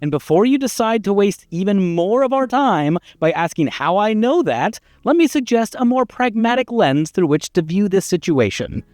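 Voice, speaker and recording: A man playing a high-pitched, pushy storybook narrator. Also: darkish tone, dry recording. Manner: theatrical, insistent, wordy. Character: pushy, exasperated, wordy storybook narrator urging a hero onward.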